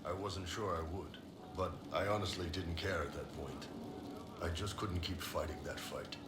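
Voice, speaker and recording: An actor playing a big, gruff, world-weary mercenary spy in a video game, casual and blunt in speech. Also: male voice, low voice, fairly even intonation, moderate pace, natural voice. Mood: subdued. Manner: casual, plain-spoken, blunt. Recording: roomy echo with clear background noise.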